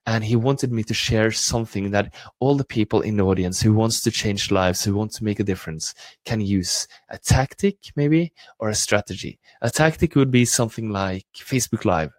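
A man's voice: fairly low pitch, normal speed, hardly any pauses, dry recording. A man with a Norwegian accent giving an enthusiastic motivational live broadcast talk as a coach trainer. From Norway.

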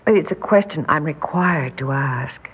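Earnest, husky American welfare investigator. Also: female voice, low voice, unhurried, full character voice. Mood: polite, apologetic. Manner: polite but stubbornly questioning. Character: earnest, persistent young female welfare investigator. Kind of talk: 1960s radio acting. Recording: dull 1960s radio drama recording.

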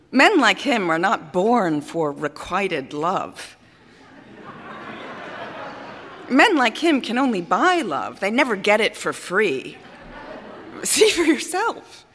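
An actress playing a vain, capricious opera singer in a theatrical monologue, speaking grandly with dramatic pauses, both scornful and adoring. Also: female voice, mid-range voice, fairly bright tone, exaggerated voice. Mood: wry disdain, then scornful complaint. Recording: reverberant hall stage recording.

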